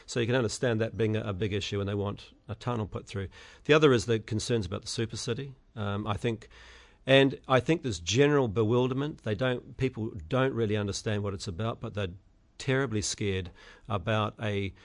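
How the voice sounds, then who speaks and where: Middle-aged New Zealand candidate, gravelly, low voice, stop-start answers full of ums. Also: male voice. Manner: halting, many fillers and restarts. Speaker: election candidate guest. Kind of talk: radio interview answers.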